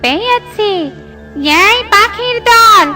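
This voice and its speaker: A woman's voice: extremely high pitch, takes it slowly. A woman voicing a bossy, greedy wicked witch in a children's cartoon, scolding and gloating. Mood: scheming. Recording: loud children's music behind.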